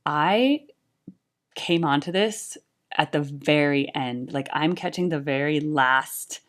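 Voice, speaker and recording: A young woman with a low-pitched voice talks casually straight to her audience. She's earnest and chatty, and her speech is filled with "like" and "right?". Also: slow pace. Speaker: YouTube music reactor and tribute band singer. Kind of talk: casual talking-head chat about music.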